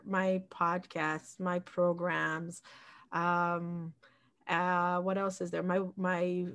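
Woman in her forties, reflective and unhurried. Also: lowish voice, smooth. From Israel. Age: 40s. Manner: reflective, with frequent restarts and fillers. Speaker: podcast guest, community founder. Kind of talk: casual interview conversation.

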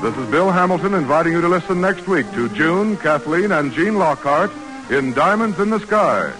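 High-ish formal American radio announcer man. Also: lively intonation, normal speed, fairly bright tone, low-quality recording. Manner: formal, declamatory. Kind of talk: 1940s radio announcing, scripted. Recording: vintage radio broadcast with music. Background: music.

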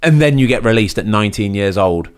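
A man co-hosting a podcast who rattles off his questions quickly in a lowish voice. He keeps jumping in with quick comments. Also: lively intonation, smooth. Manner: quick, chatty, interjecting. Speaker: podcast co-host. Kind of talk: casual interview chat.

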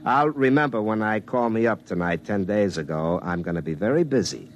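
A man's voice: on the low side, lively intonation, a little fast, highs cut off, a little hiss or hum, natural voice. An actor playing a cocky, folksy small-town telephone lineman in a 1950s radio drama, speaking in a wry, colloquial way. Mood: sly, flirtatious. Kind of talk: 1950s radio drama acting with first-person narration. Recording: vintage 1950s radio recording.

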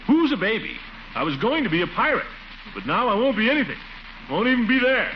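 A man's voice: sits high, sing-song, fairly quick, highs cut off. An actor playing a blustering, easily wounded middle-aged bachelor in 1940s radio comedy style, hemming and chuckling as he talks. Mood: indignant, wounded. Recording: noisy band-limited old radio recording.

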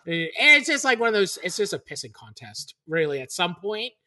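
Excitable male chef, high scratchy boyish voice, very bright tone, rambling delivery. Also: moderate pace. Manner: excitable, rambling, lots of fillers. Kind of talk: casual chat.

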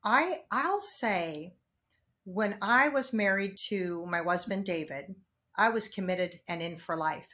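A woman's voice: mid-range voice, slow pace. A middle-aged woman, a podcast guest, chatting casually and animatedly, acting out her own thoughts. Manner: animated, acts out her own inner dialogue. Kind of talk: casual chat.

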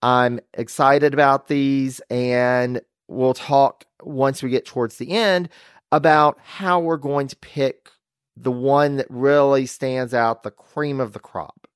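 A man's voice: mid-range voice, steady tone, slow pace, smooth, dry recording, clean studio sound. Upbeat man hosting a history podcast. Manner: upbeat, laughs often. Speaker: podcast host. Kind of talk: casual history podcast chat.

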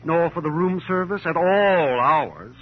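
An actor playing a fussy, pompous hotel manager in a 1950s radio drama, indignant and exasperated. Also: male voice, on the high side, lively intonation. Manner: pompous, indignant, halting fussily. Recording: muffled vintage radio recording, faint hum.

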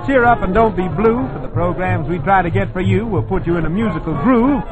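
A man's voice: high-pitched, a little fast. An American man hosting a 1940s radio jazz show as a jive-talking, rhyming showman. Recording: muffled old radio recording, music behind.

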